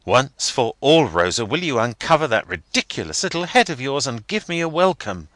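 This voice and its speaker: A man voicing a stiff, half-grumbling young gentleman fiancé. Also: mid-range voice, big pitch swings, no echo, voice pushed up. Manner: measured, slightly sulky delivery.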